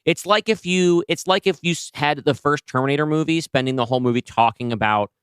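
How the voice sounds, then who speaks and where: A man's voice: a little high, quick pace, fairly bright tone, smooth, no echo. A man co-hosting a podcast, discussing ideas in a casual, analytical, conversational way. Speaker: podcast co-host and writer. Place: indoors, two people seated across from each other.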